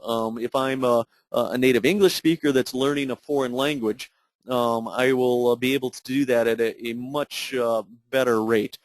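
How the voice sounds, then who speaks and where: A man narrating an unscripted software tutorial in a halting, explanatory way with many fillers. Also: mid-range voice, no room sound, poor audio. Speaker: product demonstrator.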